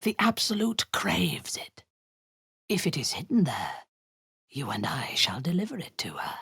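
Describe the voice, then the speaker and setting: A cold zealot commander, low husky whisper, deep voice, swinging intonation, slow and dry. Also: female voice, very animated, fairly bright tone, no echo, exaggerated voice. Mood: commanding. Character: cold, imperious woman warrior-commander, zealous cultist. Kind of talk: video game character acting.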